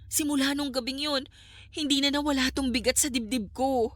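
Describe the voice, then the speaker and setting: Troubled young Filipino woman, slightly high voice, weary, haunted, easily hurt, radio drama. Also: steady tone, moderate pace, a bit bright, no room sound. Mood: uneasy, earnest.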